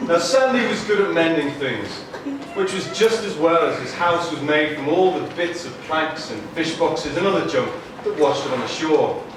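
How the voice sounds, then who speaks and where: A man's voice: fairly high pitch, lively intonation, normal speed. A man telling a wry story as narrator of a live children's puppet show. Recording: noisy echoey hall, far from mic.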